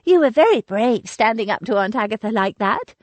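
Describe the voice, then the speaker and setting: A woman voicing an eager, impulsive young woman in a storybook reading, speaking brightly and quickly to reassure. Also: a little high, animated, no echo, pitched above the performer's own voice. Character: warm, eager young woman, loyal cousin.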